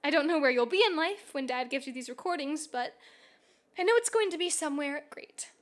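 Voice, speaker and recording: A woman's voice: sits high, animated, fairly quick. A woman playing a slightly drunk, affectionate newlywed bride, talking candidly in rambling asides in a radio play. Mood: fond, wistful. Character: Kit, tipsy newlywed bride, affectionate, playful older sister. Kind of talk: radio play monologue, intimate recorded diary.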